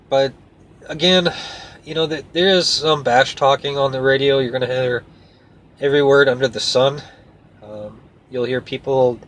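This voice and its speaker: A man talking casually to viewers as a trucker vlogger, in a plainspoken, folksy, hesitant way. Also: mid-range voice. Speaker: trucker and video host. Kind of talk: casual rambling vlog talk to viewers. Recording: clear steady background noise.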